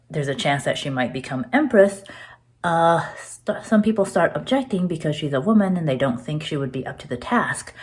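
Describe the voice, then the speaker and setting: A woman with a low voice presents a trivia question. She talks hesitantly and fills pauses with ums and uhs. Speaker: author.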